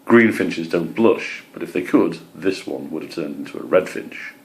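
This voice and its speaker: A man's voice: deep voice, animated, normal speed, gravelly. A man narrating a children's storybook as its reader.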